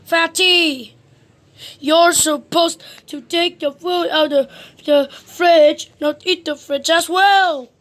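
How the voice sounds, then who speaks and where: Woman playing a rude, high-pitched storybook bully man. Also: fairly even intonation, slow, exaggerated voice. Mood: mocking. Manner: blurting, scornful, loud. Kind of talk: children's storybook read-aloud.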